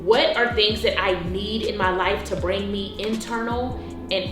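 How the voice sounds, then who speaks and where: A woman's voice: mid-range voice, fairly even intonation, a little slow. An American woman in her thirties in a casual chat between friends, speaking emphatically and repeating phrases for weight. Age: 30s. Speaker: host. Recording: echoey room, music underneath.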